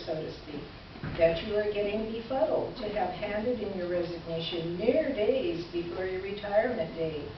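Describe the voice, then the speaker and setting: A woman performs a wry, kindly female correspondent reading her letter aloud on stage. Her delivery is measured and theatrical at a medium pitch. The sound is distant and heavily echoing, with a muffled tone. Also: lightly acted. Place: live stage presentation in a roomy venue. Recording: distant, echoey, muffled live stage recording, noisy.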